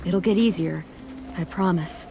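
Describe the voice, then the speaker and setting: A woman playing a caring, steady teenage girl survivor in a video game, speaking with an American accent in a reassuring, dryly teasing way. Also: on the low side, a little slow, vocal fry. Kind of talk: video game voice acting, casual dialogue. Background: background music.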